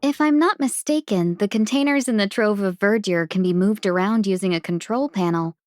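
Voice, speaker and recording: A woman's voice: mid-range voice, normal speed, darkish tone, no room sound, studio quality, close to an everyday voice. A woman playing a courteous, playful young fox-tailed merchant in a video game, speaking with polite, coaxing formality. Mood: apologetic, coaxing. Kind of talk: English video game voice acting.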